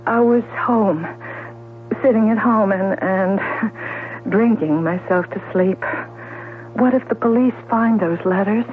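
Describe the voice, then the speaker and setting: An actress playing a distraught, guilt-ridden jilted woman in 1970s radio drama, halting and pleading as she stammers. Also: medium pitch, a little hiss or hum, natural voice. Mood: confessing, anguished. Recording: tinny, humming old radio broadcast recording.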